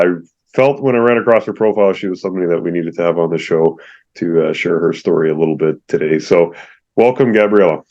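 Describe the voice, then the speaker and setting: A man's voice: low voice, a little mellow. A man hosting an interview podcast, friendly and affirming, with many fillers. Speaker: podcast host. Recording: slightly dull lo-fi audio.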